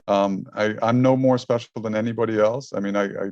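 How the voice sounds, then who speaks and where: A man's voice: lowish voice, steady tone, a little fast, darkish tone, no echo, dull audio. A man speaking as an interview guest over a remote call, earnest and repeating his words as he talks. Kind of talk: interview, casual talk.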